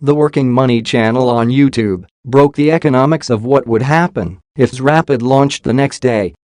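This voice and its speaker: A man's voice: mid-range voice, normal speed, a little mellow, no echo. A man reading a scripted explainer narration in a formal way.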